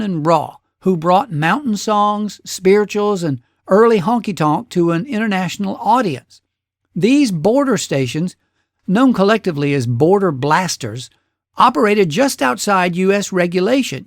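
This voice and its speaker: A man's voice: sits high, no room sound, clean studio sound. A man hosting a radio show, narrating history from a script with casual asides in a folksy, conversational storytelling way.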